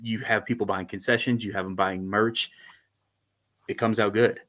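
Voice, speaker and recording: A man co-hosting a fan podcast in a casual, animated chat, laughing often and using lots of fillers. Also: lowish voice, moderate pace. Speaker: podcast co-host.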